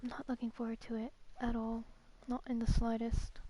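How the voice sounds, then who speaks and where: A woman's voice: a little high, completely flat delivery, normal speed, mellow. A woman streaming a game, chatting casually in a rambling, informal way. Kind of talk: casual live stream chat. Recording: clear music behind voice. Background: music.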